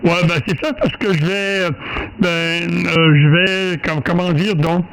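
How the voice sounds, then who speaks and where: High-pitched, creaky Quebec man. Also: fairly even intonation, slow, a bit bright, rough recording. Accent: Quebec French. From Quebec, Canada. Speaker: amateur radio operator. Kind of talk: casual radio contact chat.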